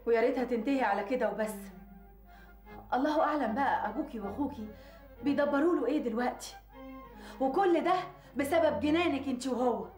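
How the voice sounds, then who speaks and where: A woman's voice: high voice, fairly quick, pauses often, a little mellow, echoey room, soft background music, lightly acted. An actress playing a worried village woman with an Egyptian Arabic accent, scolding a friend reproachfully. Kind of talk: TV drama acting.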